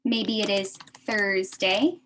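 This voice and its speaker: A young American woman teaching a beginner English class, speaking slowly and repeating each phrase for her adult learners. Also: mid-range voice, fairly even intonation, a bit bright, a little hiss or hum.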